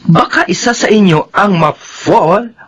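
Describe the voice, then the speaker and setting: A woman's voice: medium pitch, expressive, a little slow, breathy edge, dull audio. A woman playing Digna, a chatty, blunt office friend in a radio drama who gives frank advice. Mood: gossipy. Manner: gossipy, swooping, blunt.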